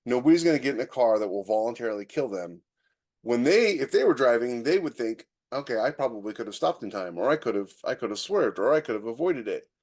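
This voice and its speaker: A man's voice: mid-range voice, expressive, fast, a little mellow. An American man hosting a podcast in casual chat, opinionated and given to illustrating his points with anecdotes. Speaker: podcast host.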